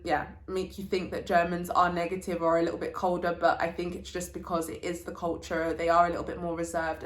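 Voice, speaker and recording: A young woman hosting a casual sit-down vlog, chatting informally with slang and telling anecdotes. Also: mid-range voice. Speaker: vlogger, channel host. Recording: roomy, echoey indoor sound.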